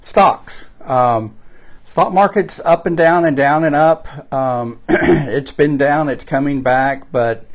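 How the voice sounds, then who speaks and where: A man from Texas with a Texan accent, giving a chatty, direct-to-camera sales pitch for real estate investing, full of fillers and self-corrections. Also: takes it slowly.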